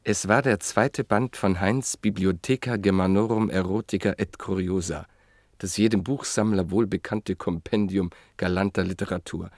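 An actor playing a reflective writer who narrates in a measured, literary way in a radio play. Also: male voice, low voice, fairly even intonation, a little mellow, dry recording, clean studio sound. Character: reflective male writer and book collector, narrator.

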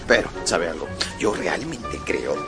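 A man's voice: sits low, big pitch swings, slow, gravelly, full character voice. An actor playing an eager, talkative and deferential manservant in a radio drama, often repeating his words. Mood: chatty, confiding. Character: eager, chatty, deferential manservant of a gentleman traveler. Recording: music behind the voice.

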